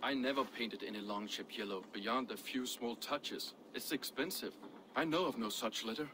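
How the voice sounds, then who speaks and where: An actor playing a dutiful Viking shipwright in a video game, answering in a terse, firm, defensive manner. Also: male voice, mid-range voice, moderate pace, natural voice. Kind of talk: video game cutscene dialogue. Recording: game audio with clear ambient noise.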